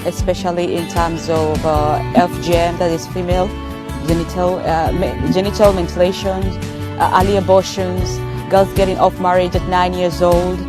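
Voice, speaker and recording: A woman's voice: on the low side, little pitch movement, normal speed. A woman from Kenya, speaking earnestly in a recorded video, with some fillers. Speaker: scout, youth activist. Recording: video clip with guitar music behind. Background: guitar music.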